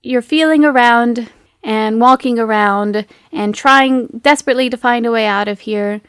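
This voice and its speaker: A woman's voice: a little high, normal speed, smooth, dry recording. A woman game master narrating a tabletop roleplaying game in a casual, giggly, playful and conversational way.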